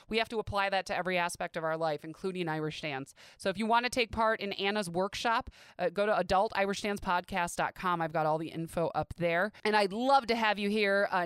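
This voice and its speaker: Smooth-voiced chatty woman podcast host. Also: mid-range voice, no echo, studio quality. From US Midwest. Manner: chatty, upbeat, warmly encouraging. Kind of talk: casual podcast chat.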